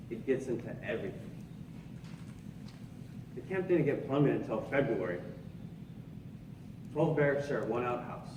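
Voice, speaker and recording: A man's voice: mid-range voice, lightly acted. Man playing earnest young soldier in echoey, noisy hall. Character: young Japanese-American army sergeant recounting camp life. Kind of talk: 1940s radio play monologue, performed live. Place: theatre stage, live performance. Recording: roomy stage recording, distant mic, noisy.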